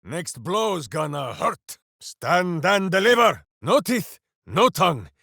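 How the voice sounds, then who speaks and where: An actor voicing a big, simple-minded, hearty berserker hero in a fantasy video game, declaiming with bombastic earnestness. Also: male voice, high voice, very animated, takes it slowly, creaky, sharp tone, dry recording, clean studio sound, exaggerated voice. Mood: battle fervor. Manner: bombastic, earnest, grandly declaiming, speaks of himself by name. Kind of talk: theatrical fantasy video game character acting.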